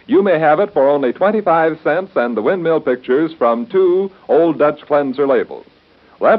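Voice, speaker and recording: An American man announcing in 1930s radio style with a polished salesman's delivery, on a muffled old broadcast recording. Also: medium pitch.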